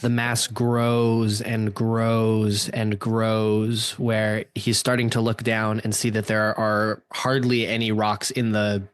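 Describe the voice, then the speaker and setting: A man's voice: on the low side, flat delivery, takes it slowly, few pauses, clean tone, no echo. A man setting scenes as narrator of an improvised radio play, describing the action as it unfolds.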